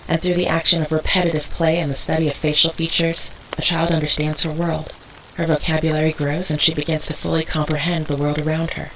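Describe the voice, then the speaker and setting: A woman's voice: low voice, flat delivery, fairly quick, slight background noise, rough recording. A woman playing a serious, weary, protective mother who speaks in stiff, precise, formal wording in an audio drama. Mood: serious, instructive.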